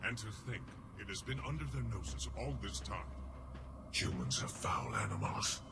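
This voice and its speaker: A man's voice: lowish voice, huge pitch swings, normal speed, gravelly, very noisy, exaggerated voice. A man voicing a grave, devout alien warrior commander in a video game cutscene, speaking solemnly and formally. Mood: contemptuous, devout.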